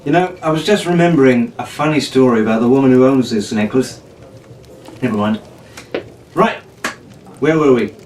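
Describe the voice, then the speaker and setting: A man's voice: medium pitch, moderate pace, long pauses, darkish tone, slight background noise. Theatrical man reading a pirate tale. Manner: playful, theatrical, drawling. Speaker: storyteller in pirate costume. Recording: echoey room from far off.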